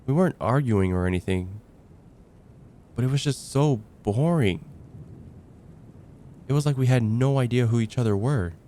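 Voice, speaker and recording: A man acting out young male friend, casual ASMR roleplay, dark mellow tone, hesitant conversational phrasing, faint stormy rumble behind. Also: natural voice. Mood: let down. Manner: conversational, hesitant, with sighs and trailing pauses. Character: casual young male heartbreaker friend confiding about dating. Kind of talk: ASMR roleplay, acted one-sided conversation.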